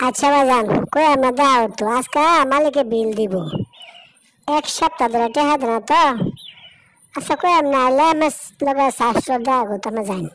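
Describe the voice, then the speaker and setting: A woman's voice: high-pitched, moderate pace, background noise, lightly acted. A woman voicing a polite, concerned village wife in a cartoon, with a rural Bangladeshi accent. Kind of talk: colloquial cartoon dialogue.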